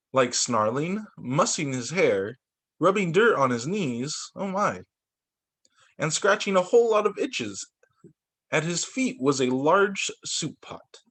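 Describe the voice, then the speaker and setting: A man's voice: on the high side, fairly bright tone. A man reading a children's story aloud as a teacher, expressively, with exclamations and little asides of his own. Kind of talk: animated picture-book read-aloud with asides.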